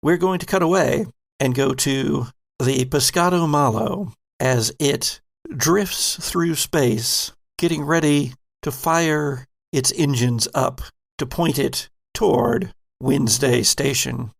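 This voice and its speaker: A man running a tabletop game as game master, narrating the scene slowly and with care. His voice has a gravelly edge. He paces each description deliberately. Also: mid-range voice.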